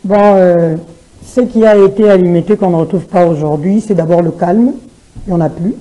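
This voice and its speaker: A woman from Kinshasa with a Congolese French accent, answering studio interview questions as a political candidate, with a halting delivery. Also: fairly low pitch, warm tone, poor audio. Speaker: parliamentary candidate and party spokesperson. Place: television studio. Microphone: gooseneck desk microphone.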